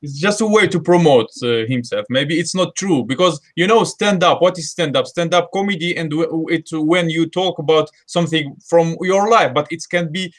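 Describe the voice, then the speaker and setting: A man's voice: a little high, moderate pace, few pauses. A man with a Russian accent, speaking English as a second language in a casual group chat, hesitant with many fillers and restarts. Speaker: host.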